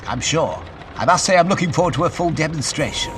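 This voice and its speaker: An assured, eccentric scientist-adventurer, played by a man, with a fairly high, very animated voice. He phrases things formally and courteously, with calm authority, as in a classic sci-fi audio drama. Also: fast talker, slight background noise.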